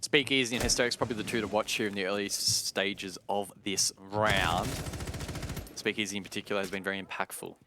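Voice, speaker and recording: A low-voiced man commentates an esports match live. He talks through tactics in a wordy, analytical way. Faint gunfire and clicking from the game sit behind his voice. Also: fairly even intonation, brisk, noisy background. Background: video game gunfire.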